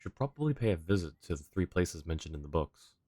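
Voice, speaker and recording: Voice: deep voice, big pitch swings, fast talker, warm tone, dry recording. A livestreamer giving casual commentary while playing a game.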